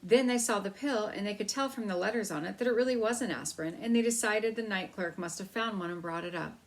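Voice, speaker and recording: A woman's voice: medium pitch, fast, voice pushed up, lightly acted, poor audio. A woman voicing an eager young boy mouse who brags a little, in a storybook reading. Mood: bragging. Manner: eager, bragging, offhand.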